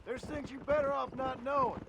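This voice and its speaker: An actor playing a terse, guarded outlaw gunslinger with an American Western drawl and a dry manner in a video game. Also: male voice, very high voice, fairly even intonation, a little fast, lo-fi sound, noisy background. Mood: guarded, wry. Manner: laconic, dry. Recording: music behind the voice, hoofbeats.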